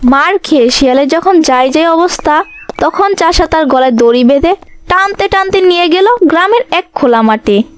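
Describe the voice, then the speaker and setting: A woman's voice: high voice, lively intonation, normal speed. A woman storyteller reading scripted narration for a children's animal tale.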